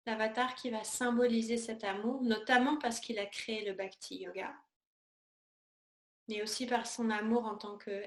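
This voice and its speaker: Higher-voiced woman guiding meditation, echoey room. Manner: calm, unhurried, soothing, with pauses between short phrases. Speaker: yoga teacher. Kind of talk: guided yoga and meditation instruction.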